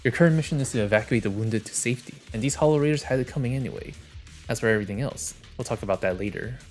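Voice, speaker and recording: A man's voice: mid-range voice, rapid-fire, faint music behind. A man who streams games, reading story narration and dialogue aloud on a livestream.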